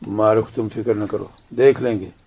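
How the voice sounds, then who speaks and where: A man's voice: fairly low pitch, steady tone, moderate pace, darkish tone, breathy edge. An actor playing a dignified, defiant Kashmiri father in a radio drama, speaking in a grave, emphatic way. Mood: reassuring.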